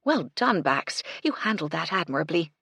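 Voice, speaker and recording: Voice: mid-range voice, sing-song, normal speed, gravelly, pushed and bright, slightly rough, no room sound, full character voice. A narrator voicing a gracious, shrewd woman who owns a hotel.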